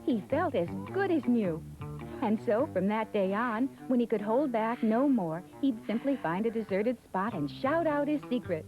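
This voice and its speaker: A woman's voice: fairly high pitch, expressive, normal speed. A woman narrating a scripted fairy tale as a storyteller. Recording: thin phone-like sound, music behind. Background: music.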